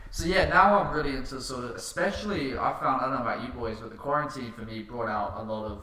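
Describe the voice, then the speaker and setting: A man's voice: mid-range voice, quick pace, sharp tone. A young man telling stories in casual, matey podcast chat as a guest. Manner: informal, matey storytelling. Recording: roomy sound with clear noise.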